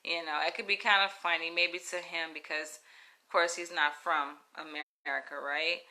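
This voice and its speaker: A woman with a Southern US accent, telling a story to camera in a frank, animated, profane way. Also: sits low, moderate pace. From Florida, US. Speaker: comedian. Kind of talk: casual storytelling vlog.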